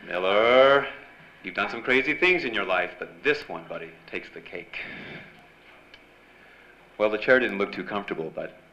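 A wry, hard-boiled newspaper reporter from a 1940s radio noir, acted by a man. He has a low voice and a clipped storyteller's delivery. The live recording is dull and clearly noisy. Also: lively intonation, moderate pace, sharp tone. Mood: awkward. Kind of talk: 1940s radio drama acting.

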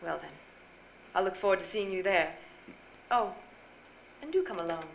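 A woman's voice: lowish voice, lively intonation, moderate pace, creaky. A woman playing an elegant, confiding rich wife in a radio drama, with poised theatrical delivery. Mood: gracious. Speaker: actor. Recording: dull noisy live-stage recording.

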